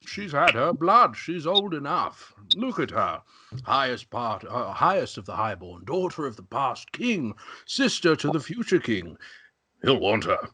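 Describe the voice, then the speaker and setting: A man playing a smooth-tongued, obsequious magister and host in an amateur script table read, speaking with unctuous deference. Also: medium pitch, big pitch swings, a little slow, sharp tone, full character voice. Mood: flattering, persuasive.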